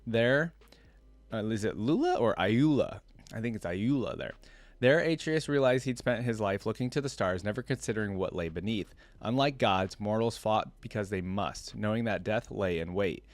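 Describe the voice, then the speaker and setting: A man's voice: fairly low pitch, expressive, normal speed, no echo. A man hosting a game lore reaction video, reading text aloud and adding informal remarks with little tag questions. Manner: informal, often checks in with tags like "right?" and "yeah?".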